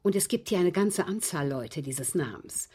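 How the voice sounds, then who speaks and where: Genteel, husky, coolly composed lady poisoner. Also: on the low side, lively intonation, moderate pace, warm tone. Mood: chatty, matter-of-fact. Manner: polite, precise, unruffled, coolly amused. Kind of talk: German radio drama acting.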